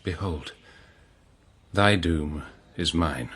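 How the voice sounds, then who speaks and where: Devoted king, very low, slow, hushed solemn vows, radio drama. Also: slightly rough, exaggerated voice. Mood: solemn devotion. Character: devoted king solemnly vowing deathless love. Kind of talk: classic verse radio drama.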